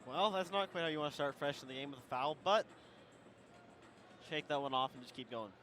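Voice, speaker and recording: Guy doing color commentary, talks fast, kinda higher voice, chatty game breakdown. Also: fairly bright tone, a little hiss or hum. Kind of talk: conversational game analysis.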